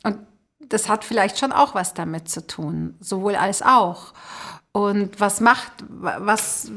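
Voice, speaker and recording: Female podcast host, mid-pitched, conversational and reflective, feeling out each thought as she speaks. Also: slow, fairly bright tone. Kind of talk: conversational interview, thinking aloud.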